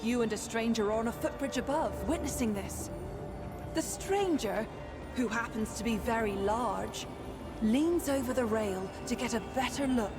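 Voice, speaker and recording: A woman playing an ethereal, playful and probing seer spirit in a fantasy game, speaking in a deliberate, mysterious, riddling way. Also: on the high side, lightly acted. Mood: measured, probing. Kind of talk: theatrical fantasy game dialogue. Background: music.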